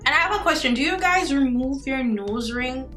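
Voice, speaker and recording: A woman's voice: high voice, animated, moderate pace, fairly bright tone. Woman, 20s, Jamaican accent, casual vlog talk, chatty and upbeat, speaks to viewers directly, home recording, camera mic, faint music behind. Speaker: beauty vlogger. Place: indoor room at home, not a bathroom.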